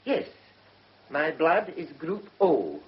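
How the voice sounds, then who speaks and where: An actor playing a rambling, evasive witness, hesitant and chatty, in a radio drama. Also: male voice, on the high side, wildly swooping pitch, takes it slowly, exaggerated voice. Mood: flustered, rambling. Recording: muffled, hissy old radio recording.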